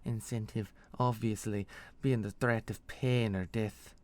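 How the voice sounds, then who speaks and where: A man voicing a ruthless, sharp-tongued mercenary woman who mocks and menaces, with a put-on accent, in a fantasy story. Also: lowish voice, fairly even intonation, unhurried, lightly acted. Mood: mocking, scornful. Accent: put-on foreign fantasy accent.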